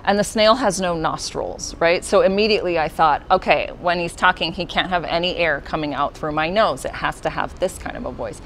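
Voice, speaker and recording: A woman with a fairly low voice, a puppeteer guest giving casual, friendly explanations with many fillers. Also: fairly quick, few pauses, a bit bright, slight background noise.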